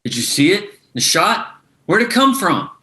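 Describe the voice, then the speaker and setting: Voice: mid-range voice, big pitch swings, normal speed, sharp tone. An actor reading a defiant young ranch heir at a screenplay table read, moving from pleading to fierce commands. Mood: tense.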